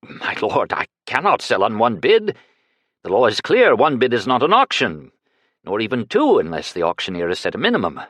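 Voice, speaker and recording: A man voicing a nervous, fawning auctioneer who pleads and chants bids in a higher voice. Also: wildly swooping pitch, normal speed, fairly bright tone, no echo. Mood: pleading. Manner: pleading, wheedling, sing-song chanting.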